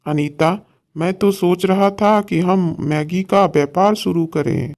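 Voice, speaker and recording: A man's voice: sits high, takes it slowly, darkish tone. A man voicing a kind, idealistic poor village husband in a cartoon story, talking earnestly. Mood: earnest, hopeful.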